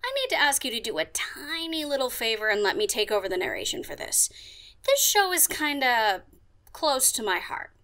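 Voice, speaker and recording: A woman's voice: a little high, sing-song, normal speed, bright, forceful tone, heavily acted. A woman playing a brash, sarcastic pony radio DJ with a big ego in a comic audio drama. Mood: breezy, pushy. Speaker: radio host. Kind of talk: comic audio drama with first-person narration.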